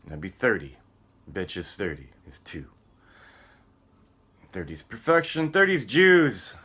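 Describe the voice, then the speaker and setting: Male vlogger, lowish forceful voice, combative and foul-mouthed, free-form rambling delivery. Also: wildly swooping pitch, takes it slowly, breathy edge. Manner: profane, combative; abrupt swings between muttering and shouting. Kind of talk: rambling solo rant.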